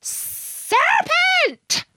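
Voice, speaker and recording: A woman's voice: very high voice, wildly swooping pitch, slow, a bit bright, a little hiss or hum, voice pushed up, exaggerated voice. A woman voicing a frantic, scornful mother pigeon in a storybook reading, with indignant, aggrieved screeching. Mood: shrieking alarm.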